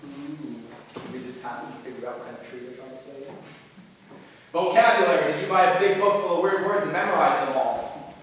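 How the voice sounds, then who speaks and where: A young man performing a dramatic monologue as an anxious twenty-year-old looking back on depression, halting and earnest, with theatrical swings in volume. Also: fairly high pitch, close to an everyday voice. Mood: wry, reflective. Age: young adult. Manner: halting, earnest, swinging from quiet to loud outbursts. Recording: very echoey hall from far off, noisy.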